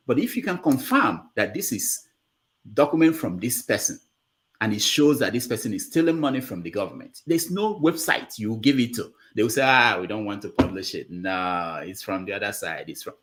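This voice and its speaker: A man's voice: lowish voice, a bit bright. A man hosting a panel discussion, explaining things point by point in an emphatic way. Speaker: show host.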